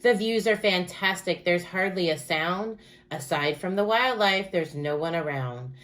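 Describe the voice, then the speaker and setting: A woman reads the part of a cheerful storybook man who loves camping. The voice is slightly low, with keen, reassuring phrases in a bouncy rhyme, as if talking to a small dog. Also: measured pace, a bit bright. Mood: enthusiastic. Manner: enthusiastic, reassuring, rhyming speech.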